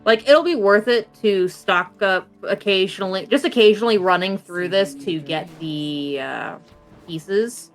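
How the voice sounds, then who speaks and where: A woman chatting and laughing with friends in a co-op game, playful and quick to give tips. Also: mid-range voice. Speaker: co-op game player. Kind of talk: casual gaming chat. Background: faint game music.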